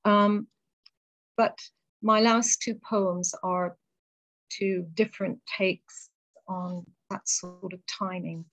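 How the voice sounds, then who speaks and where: A woman poet reading her poems aloud and talking between them, in a measured, deliberate way with pauses between phrases. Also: medium pitch, steady tone, fairly bright tone, rough recording. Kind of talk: poetry reading.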